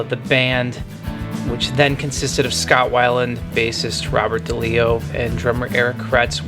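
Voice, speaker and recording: A man's voice: medium pitch, creaky. Middle-aged man hosting over guitar music. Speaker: podcast host. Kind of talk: music podcast talk, retrospective commentary.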